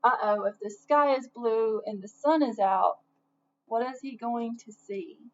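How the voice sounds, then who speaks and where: A slightly dull recording of a woman reading aloud to children. Her voice is high and she takes her time, narrating expressively as a storyteller. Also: measured pace. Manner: expressive, asks listeners questions. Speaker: storybook reader. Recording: dull lo-fi sound, faint noise.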